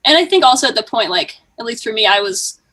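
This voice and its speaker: A woman's voice: a little high, lively intonation, quick pace, bright, forceful tone. A woman guest chatting informally on a podcast, slangy, with "like" sprinkled all through. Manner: informal, slangy, heavy use of "like". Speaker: podcast guest, music company co-founder. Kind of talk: casual chat.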